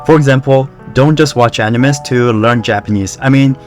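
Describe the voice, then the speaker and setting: A young man from Korea with a Korean accent, talking to camera in a relaxed, conversational way about how he learned a language. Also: mid-range voice, normal speed, soft background music. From South Korea. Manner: relaxed, conversational, with fillers like "you know" and "like". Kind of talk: casual talk to camera.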